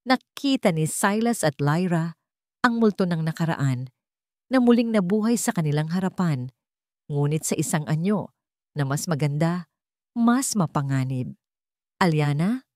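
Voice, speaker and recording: A woman's voice: sits low, animated, moderate pace, long pauses, a little mellow, no room sound, clean studio sound. A woman narrating a scripted dramatic story as an audio storyteller.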